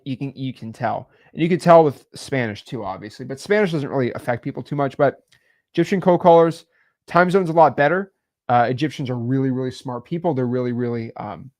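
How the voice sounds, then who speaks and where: A young man co-hosting a podcast, giving casual business advice in a rambling way with many fillers. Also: mid-range voice. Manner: rambling, many fillers, animated swings in loudness. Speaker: podcast co-host.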